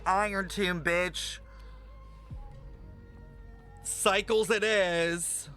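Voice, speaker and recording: A man's voice: sits high, pushed and bright. A man streaming a game reaction, talking casually with plenty of swearing and filler words. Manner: casual, profane, lots of filler "like".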